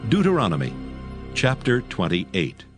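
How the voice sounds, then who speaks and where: Highly expressive male scripture narrator. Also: measured pace, soft background music.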